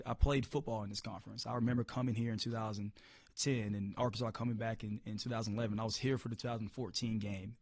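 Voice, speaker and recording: A hoarse-voiced man coaching football, answering press questions upbeat, often repeating words as he restarts. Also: mid-range voice, no room sound, studio quality. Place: media room.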